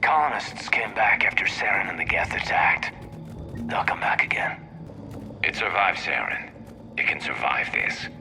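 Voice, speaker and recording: A resolute soldier from a video game, gruff and low. The voice is gravelly and strongly forceful. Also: male voice, expressive, normal speed, faint music behind, full character voice.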